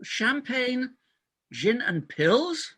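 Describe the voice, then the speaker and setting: A man's voice: very high-pitched, very animated, extremely slow, fairly bright tone, breathy edge, exaggerated voice. A man playing an exasperated, self-important hospital doctor, indignant and emphatic, in a comic stage farce reading. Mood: indignant, alarmed. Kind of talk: comic farce readers theatre.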